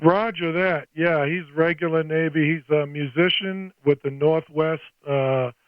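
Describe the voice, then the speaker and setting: Older male radio hobbyist, folksy and a bit scattered, slightly high voice, dry close recording. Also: steady tone, unhurried, dry recording, lo-fi sound. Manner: folksy, scattered, thinks aloud. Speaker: amateur radio operator making a video. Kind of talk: casual two-way radio chat.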